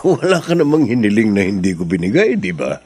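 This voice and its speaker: A man's voice: fairly low pitch, very animated, a little fast, darkish tone, husky. A man playing a doting, indulgent middle-aged father in a Filipino radio drama, with a teasing manner. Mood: fond, indulgent.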